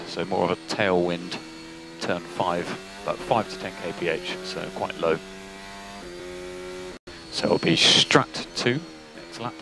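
A man working as a race engineer, giving calm, clipped technical instructions over team radio. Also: fairly low pitch. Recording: noisy team radio, engine noise behind.

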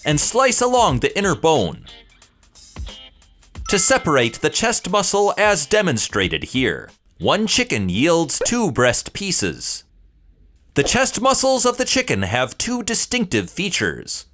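A man's voice: a little high, a bit bright. A man delivering scripted educational science narration, explaining things in a presentational way to viewers. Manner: explanatory, presentational, addresses viewers with rhetorical questions.

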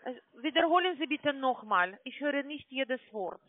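A man with a foreign accent in his German, working as a call-centre sales agent, halting and often asking for things to be repeated. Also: extremely high pitch, lively intonation, no echo. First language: not German. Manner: halting, often asks for repetition. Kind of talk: scripted phone sales pitch. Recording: narrow tinny phone line.

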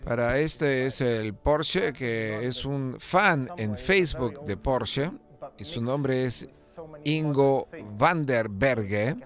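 Male car-show host doing casual voice-over narration, loose and conversational, with soft music beneath. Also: mid-range voice, animated, very slow. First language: Spanish; lived in England over sixteen years. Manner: conversational, with frequent "eh" fillers and restarts. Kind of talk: unscripted voice-over commentary.

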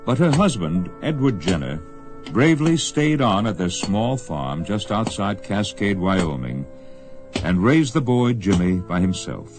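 A man's voice: on the low side, very animated, unhurried. A man narrating a radio western as a solemn storyteller, with music behind him. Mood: solemn, measured. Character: solemn storyteller of an Old West tale.

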